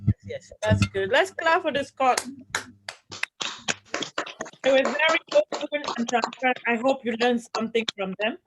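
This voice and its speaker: A woman hosting a couples panel at a live event, playfully putting questions and echoing answers back with exclamations. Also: on the high side. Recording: noisy live event recording with clicks.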